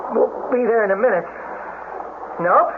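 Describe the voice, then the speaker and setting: A practical leader trapped underground, fairly high in voice and giving orders in radio-play style. The recording is thin and tinny, with clear noise. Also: male voice, unhurried. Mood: commanding, searching. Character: practical take-charge man trapped in a cave. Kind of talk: radio drama acting. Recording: tinny, noisy old radio broadcast.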